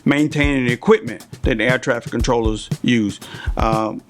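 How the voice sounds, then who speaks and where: A man's voice: mid-range voice, normal speed, music in the background. An older man, explaining things conversationally as an experienced interview guest, often checking in with a "right?". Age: 50s or older. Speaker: interview guest, veteran air traffic controller.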